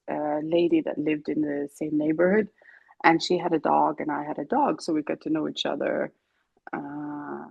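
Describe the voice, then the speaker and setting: A woman from Sweden, a singer-songwriter, chatting casually as an interview guest, relaxed and laughing often. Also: low voice, vocal fry, husky, no echo, narrow, tinny audio. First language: Greek and Finnish. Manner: relaxed, laughs often, many fillers and restarts.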